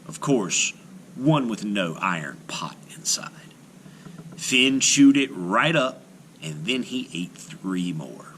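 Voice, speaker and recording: A man reading a folktale aloud to children as an expressive storyteller. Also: on the low side, takes it slowly, a little hiss or hum, rough recording.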